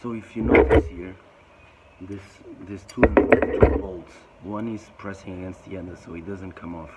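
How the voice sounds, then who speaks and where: A man with a croaky voice casually walking through an engine repair, talking in halting, plain phrases with restarts. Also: sits low, steady tone. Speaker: boat owner showing a repair. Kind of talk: casual how-to demonstration. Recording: loud background noise.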